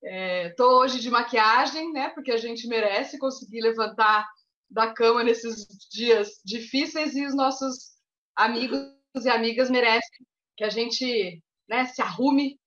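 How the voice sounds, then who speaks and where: A woman in her forties talks with guests in a relaxed, chatty way. Her voice sits a bit high, and the recording sounds slightly dull. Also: a little slow. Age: 40s. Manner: friendly, informal, chatty. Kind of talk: casual chat.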